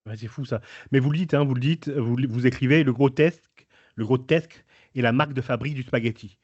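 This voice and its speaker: A man's voice: medium pitch, fairly quick, darkish tone, dull audio. Man asking hesitant, chatty questions. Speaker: show host. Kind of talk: casual interview talk.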